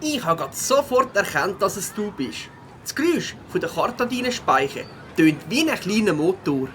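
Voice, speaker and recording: Casting: woman as friendly young beaver schoolboy, very animated, Swiss German, children's audio story with some background noise. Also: mid-range voice, moderate pace, natural voice. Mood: excited. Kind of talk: children's audio play acting.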